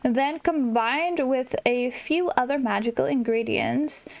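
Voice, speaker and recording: Woman reading slow, helpful old wizard. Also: high voice. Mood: explaining. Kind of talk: reading video game dialogue aloud.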